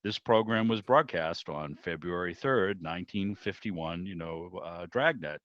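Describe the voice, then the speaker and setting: A man's voice: low-pitched, unhurried, fairly bright tone, dry recording. A middle-aged man hosting a club meeting over a video call, chatting casually in a rambling, discursive way. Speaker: club meeting host, interviewer. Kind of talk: casual conversational commentary. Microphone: video call microphone. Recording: dull lo-fi video call audio.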